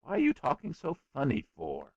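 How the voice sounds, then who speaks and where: A naive little storybook girl, put on by a male narrator, pitched up and lilting. She is tender and anxious, with an earnest, childlike way of asking. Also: huge pitch swings, a little fast, no room sound, lo-fi sound. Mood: puzzled, blunt.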